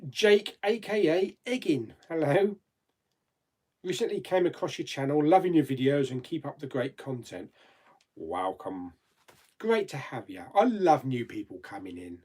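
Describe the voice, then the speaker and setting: Chatty man with a lively voice. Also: medium pitch, measured pace, breathy edge. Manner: chatty and rambling, reads out viewer comments, laughs easily, mild swearing. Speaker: live chat host. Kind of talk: casual live stream chat.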